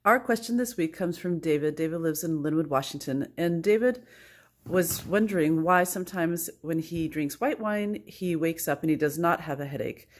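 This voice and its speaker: A woman's voice: deep voice, moderate pace. A woman, a marketing events manager, reading a viewer's question and asking short follow-up questions from behind the camera. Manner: reads the viewer's question, then asks short follow-ups. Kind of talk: casual interviewing. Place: dining room, behind the camera.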